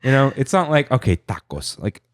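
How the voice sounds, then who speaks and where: Podcast co-host, male, Armenian first language; animated, lively pitch, dry sound. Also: normal speed, no room sound. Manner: animated, rattles off menu items. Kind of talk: casual chat.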